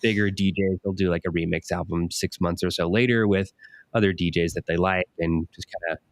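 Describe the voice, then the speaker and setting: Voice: low-pitched, fairly even intonation, fairly quick, a little hiss or hum. A co-host of an online music radio show, introducing a track in laid-back casual chat.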